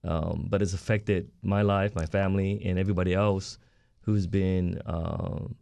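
A man's voice: deep voice, steady tone, unhurried, gravelly, mellow, clean tone, no room sound. A middle-aged man from Southern California, born in South Korea, speaking politely and earnestly as an interview guest about himself. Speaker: guest, retired army chaplain. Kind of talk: interview, telling own story.

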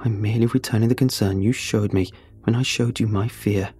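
A man voicing a calm, cryptic young scout. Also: on the low side, breathy edge, faint music behind. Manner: calm, earnest, unhurried.

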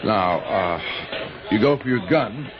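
An actor playing a tough, terse American film star in a 1960s radio thriller, curt and sardonic. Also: male voice, fairly low pitch, lively intonation, slow, music in the background. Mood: businesslike. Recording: dull old radio broadcast recording, background din.

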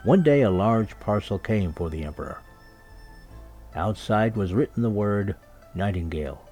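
A man's voice: lowish voice, animated, moderate pace, dark tone. A man narrating a scripted children's story as a storyteller. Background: music and birdsong sound effects.

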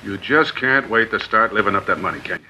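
A man's voice: fairly low pitch, expressive, quick pace, bright, forceful tone, slight background noise. An actor playing a ruthless, scheming male cult leader in a 1950s radio drama, grandiose when he preaches and threatening when crossed. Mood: menacing. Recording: dull lo-fi 1950s radio broadcast.

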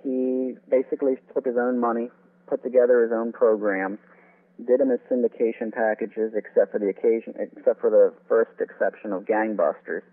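A man's voice: medium pitch, steady tone, moderate pace. A man being interviewed as an author, explaining radio history in a conversational way. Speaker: interview guest, author on old-time radio. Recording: phone-like narrow, tinny sound.

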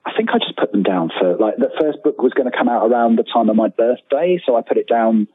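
British male guest, forties, husky, conversational, on a dry telephone-quality link. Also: medium pitch, animated, fast, no room sound. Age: 40s. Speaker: guest author. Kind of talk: casual interview conversation. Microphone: remote call. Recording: thin phone-like call, dry.

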